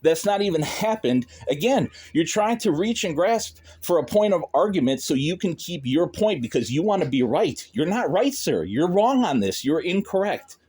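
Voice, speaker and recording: A man's voice: on the high side, sing-song, normal speed, few pauses, darkish tone, slightly rough. A man arguing on a phone call as a pet owner confronting a neighbour, in a stern, insistent, lecturing manner. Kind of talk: argumentative phone conversation.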